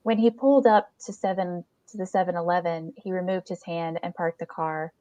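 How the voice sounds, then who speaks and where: A woman's voice: on the low side, fairly even intonation, fairly quick, warm tone, no room sound. A woman reading a first-person short story aloud as scripted narration, in a dull lo-fi recording.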